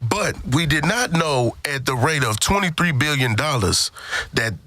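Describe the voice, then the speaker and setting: A man's voice: medium pitch, lively intonation, unhurried, bright, forceful tone, dry recording. A man hosting a casual panel discussion, speaking emphatically and repeating phrases for stress. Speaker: podcast host.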